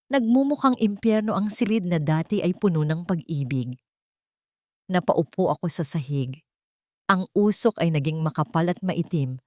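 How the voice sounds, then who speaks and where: A woman reading a dramatic first-person audiobook story in a deliberate, emotive way, phrase by phrase. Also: on the low side, darkish tone, no echo, studio quality. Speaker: audiobook narrator.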